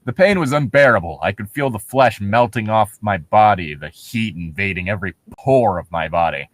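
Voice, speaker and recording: A man's voice: fairly low pitch, normal speed, no room sound. A man doing a comedic read-aloud of horror fiction, theatrical and sardonic. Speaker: co-host reading horror stories for laughs.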